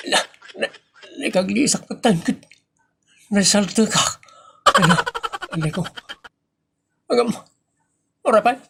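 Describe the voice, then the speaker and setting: Stubborn young-nephew role played by a man, Filipino Ilocano accent, high-pitched comic delivery. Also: creaky, noisy background, heavily acted. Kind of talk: comic audio drama acting.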